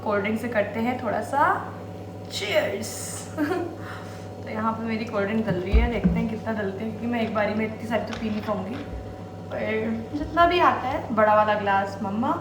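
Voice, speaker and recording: A woman's voice: a little high, roomy sound, background noise. A young woman chatting casually in a home vlog, mixing English words into her Hindi while she does kitchen chores. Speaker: vlogger.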